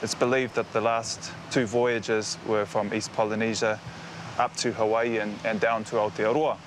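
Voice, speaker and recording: A man's voice: fairly low pitch, level tone, moderate pace, background noise. A man with a Māori New Zealand English accent, earnestly telling history with small hesitations. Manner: earnest storytelling, slight hesitations. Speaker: guest speaker sharing history.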